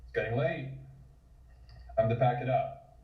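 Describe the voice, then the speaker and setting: An actor playing a tense, tired, hostile police officer, terse and commanding, in a table read. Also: male voice, mid-range voice, measured pace, natural voice. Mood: tense, impatient. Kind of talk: comic script table read. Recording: echoey, distant, muffled call audio.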